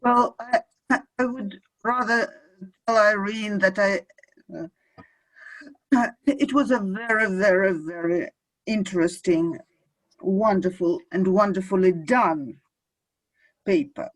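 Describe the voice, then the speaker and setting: A woman from Russia, a museum researcher, speaking haltingly over a video call with many fillers and repeated words. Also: medium pitch, dry recording, rough recording. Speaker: museum researcher asking a question.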